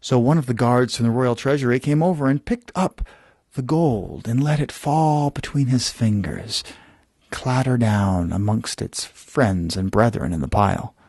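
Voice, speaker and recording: A man telling a bedtime story as host, with deliberate phrasing and dramatic pauses. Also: medium pitch, expressive, a little mellow, no echo, clean studio sound.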